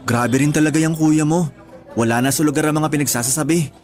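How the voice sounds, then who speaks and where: An actor with a Filipino accent playing a concerned, reasonable young boyfriend who speaks gently in a radio drama. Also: male voice, fairly high pitch, a little fast, soft background music, lightly acted. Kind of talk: Tagalog radio drama acting.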